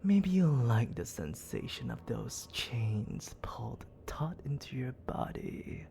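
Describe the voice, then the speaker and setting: A man voicing a menacing, teasing demon lord in an ASMR roleplay, breathy and often dropping to a whisper, condescending and coaxing toward a captive. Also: mid-range voice, mellow, a little hiss or hum. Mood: hushed menace. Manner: slow, taunting, condescending, coaxing.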